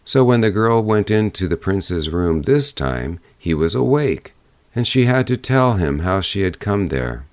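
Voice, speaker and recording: Male audiobook narrator for fairy tales, low register, measured storytelling, dry close sound. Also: very animated, smooth, no room sound. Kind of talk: scripted fairy-tale narration.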